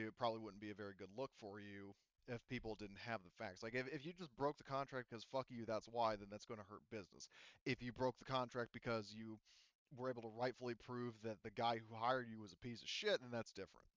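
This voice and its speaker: A man's voice: lowish voice, fairly even intonation, a bit bright, smooth, dry recording. Dull-sounding man as casual game master. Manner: halting, self-correcting, frequent "you know". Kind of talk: narrating a tabletop roleplaying game, casual and explanatory. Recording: dull, lo-fi voice audio.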